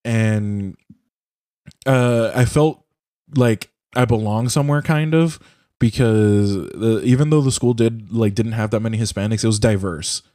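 A man's voice: fairly low pitch, steady tone, a little slow, warm tone, no echo, clean studio sound. A young man from Puerto Rico whose first language is Spanish, chatting casually and profanely over a voice call, rambling with lots of "bro" and "like". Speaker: podcast host. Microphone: headset or desk mic on a voice call.

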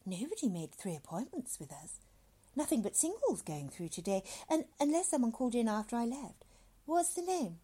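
A woman's voice: on the high side, big pitch swings, moderate pace, mellow, breathy edge, pitched above the performer's own voice. A woman voicing a polite, prim, officious female receptionist with a proper, slightly hesitant manner in a story reading. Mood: businesslike. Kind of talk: short story dramatic reading.

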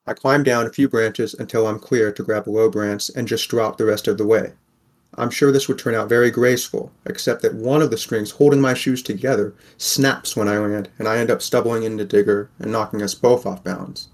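A man's voice: on the low side, fairly even intonation, a little fast, close to an everyday voice. A man playing a weary, wry sixteen-year-old boy from a poor mining town, narrating a fan audio drama in the first person.

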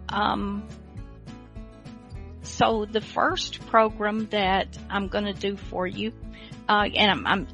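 A woman's voice: on the low side, creaky, fairly bright tone. A woman hosting a podcast, giving casual, rambling introductions in an informal way. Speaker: podcast host.

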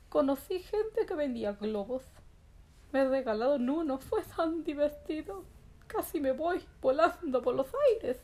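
A woman voicing an excitable, childlike little boy rabbit who tells a children's bedtime story with wide-eyed exclamations and drawn-out words. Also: high-pitched. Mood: delighted.

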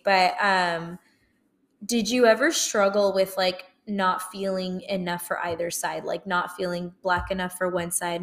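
A young woman, hosting a casual personal conversation in an affirming, chatty way. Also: lowish voice. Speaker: podcast host.

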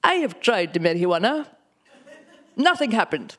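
A woman voicing an earnest Indian father with an Indian accent, telling a story in an emphatic, deadpan way. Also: medium pitch, moderate pace. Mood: solemn. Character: earnest Indian immigrant father recounting smoking pot.

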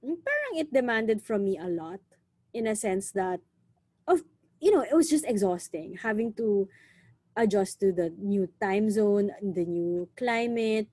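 A young woman from the Philippines with a Filipino accent, chatting casually and lively, often saying "you know" and slipping in Tagalog words. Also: medium pitch, measured pace. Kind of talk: casual conversation.

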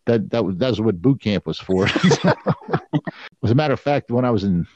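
A man's voice: on the low side, expressive, moderate pace, darkish tone, dry recording, lo-fi sound. A man co-hosting a podcast, chatting casually and telling stories with frequent chuckles. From Philadelphia area, USA. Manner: laid-back storytelling, chuckles often. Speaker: podcast co-host. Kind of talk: casual chat.

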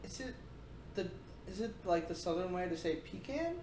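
Woman cohost rambling on, kinda low voice, echoey room. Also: big pitch swings, normal speed, darkish tone. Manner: rambling, filler-heavy. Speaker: podcast co-host. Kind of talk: casual chat. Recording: echoey room with faint noise.

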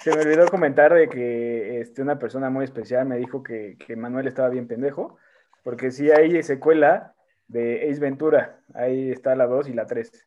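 A man's voice: medium pitch, normal speed, darkish tone, muffled recording. A man with a Mexican accent co-hosting a podcast, easygoing and slangy in casual chat. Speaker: podcast co-host.

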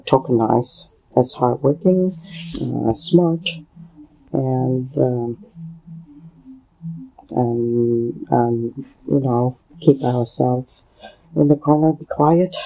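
A man from Hong Kong with a Hong Kong accent and Cantonese as his first language, talking alone on a podcast in a halting way, with many fillers and pauses. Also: mid-range voice, gravelly, dark tone, rough recording. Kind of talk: solo spoken commentary.

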